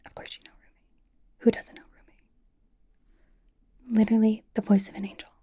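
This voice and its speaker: A woman playing a bubbly, nervous young K-pop idol in an ASMR roleplay, whispering softly in a chatty, rambling, reassuring way. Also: a little high, little pitch movement, a little fast. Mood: bubbly, awkward. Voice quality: soft, breathy whisper.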